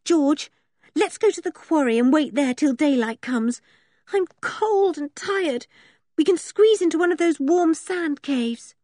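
A woman's voice: high voice, expressive, normal speed, a little mellow, no room sound, clean studio sound, pitched above the performer's own voice. A woman voicing a headstrong young girl, fiercely devoted to her dog, with urgent, exclaiming delivery in a storybook reading. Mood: determined. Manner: urgent exclamations and demanding questions.

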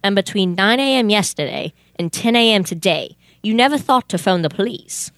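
Young woman playing a deadpan police detective, close dry sound. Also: mid-range voice, moderate pace, no echo. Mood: businesslike. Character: male police detective, businesslike and increasingly exasperated. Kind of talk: comic old-time radio theater acting.

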